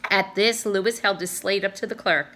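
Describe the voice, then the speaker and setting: A woman, a teacher, reading a children's novel aloud with expressive storytelling. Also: mid-range voice, normal speed.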